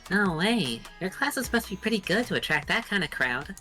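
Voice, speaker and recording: A woman's voice: medium pitch, sing-song, moderate pace, bright, forceful tone. A woman voicing a blunt, unimpressed young student in a video game, with a casual, sarcastic, dismissive delivery. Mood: surprised. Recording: music behind the voice.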